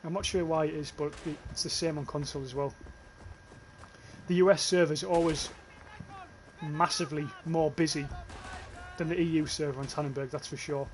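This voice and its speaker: A man's voice: on the high side, fairly even intonation, normal speed, slight background noise, low-quality recording. A young British man with a northern English accent, casually commentating his gameplay livestream, swearing offhand and reading chat comments. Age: young adult. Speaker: streamer.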